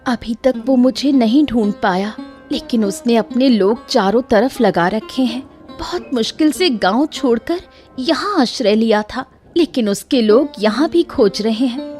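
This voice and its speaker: A woman's voice: fairly high pitch, lively intonation, unhurried, darkish tone, soft background music, pitched above the performer's own voice. A woman voicing a distressed young village woman pleading for help in a moral story.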